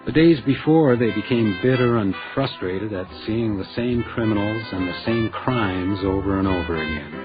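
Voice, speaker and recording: A man's voice: lowish voice, lightly acted. An actor playing a wry, easygoing hotel detective who narrates his cases in a radio drama. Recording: dull sound under string and guitar music.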